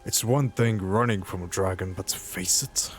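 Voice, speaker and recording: A man role-playing in character through a fantasy game, improvising in a halting, musing manner. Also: sits low, faint music behind.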